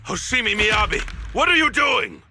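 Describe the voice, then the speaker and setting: A man's voice: extremely high pitch, animated, moderate pace, gravelly, music in the background, full character voice. A man playing a pompous middle-aged police commander in a video game, declaiming in an official, theatrical manner. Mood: shocked, indignant. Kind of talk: dramatic video game voice acting.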